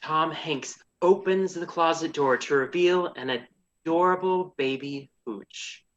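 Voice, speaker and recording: A woman's voice: deep voice, a little slow, highs cut off. A woman narrating a comedic radio play in a sassy, theatrical manner.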